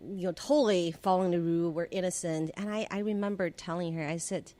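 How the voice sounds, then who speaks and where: Woman, from China, forties, podcast guest, reflective storytelling, restarts, soft laughs. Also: lowish voice, fairly even intonation, fast talker. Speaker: podcast guest, former government relations head. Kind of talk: conversational interview storytelling.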